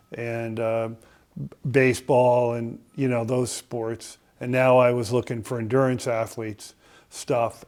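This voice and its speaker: A man's voice: medium pitch, darkish tone, clear, resonant tone. An older man from Connecticut, a podcast guest telling a long story, with many filler sounds and restarts. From Connecticut, USA.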